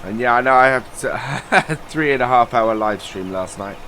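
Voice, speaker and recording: A man's voice: mid-range voice, normal speed, pushed and bright, a little hiss or hum. A man with a nasal, stuffed-up voice from a cold, rambling through casual let's-play commentary with lots of fillers. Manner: chatty, rambling, many uh fillers. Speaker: gaming channel host.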